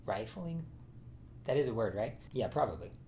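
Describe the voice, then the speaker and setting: A man's voice: medium pitch, big pitch swings, moderate pace, dark tone, a little hiss or hum. A man casually telling a personal story in a chatty, self-deprecating way, often laughing at himself.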